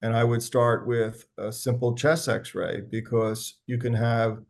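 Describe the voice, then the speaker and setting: A man speaking as a physician on a health panel, answering audience questions conversationally with many pauses and restarts. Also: mid-range voice, little pitch movement, gravelly, a little mellow. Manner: conversational, frequent fillers and restarts. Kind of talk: medical panel Q&A.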